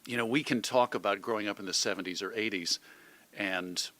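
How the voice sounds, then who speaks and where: A middle-aged man from suburban Chicago giving reflective, measured answers as an interview guest, carefully qualifying his points. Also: fairly low pitch, pushed and bright, no echo. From suburban Chicago, United States. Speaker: podcast guest, magazine editor and radio host.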